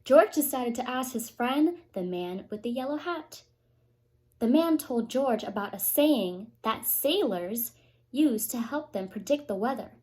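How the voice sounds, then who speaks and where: A woman with a fairly high voice reads a picture book to young children at a very unhurried pace. She sounds like a teacher at story time, reading expressively and stopping for small explanatory asides. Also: expressive, warm tone.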